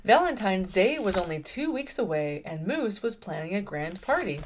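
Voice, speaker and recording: A woman reads a storybook aloud for children as the host. Her mid-pitched voice is animated and expressive, recorded with slight noise in the background. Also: normal speed.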